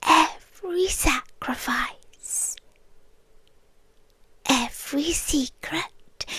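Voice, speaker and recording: A woman with a British accent playing a possessive, ageless creature girlfriend in an intimate ASMR roleplay, breathy and often whispering, tender yet menacing. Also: high voice, no room sound, full character voice. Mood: playful, affectionate. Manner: slow, deliberate, coaxing with lingering pauses. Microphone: close, dry ASMR microphone.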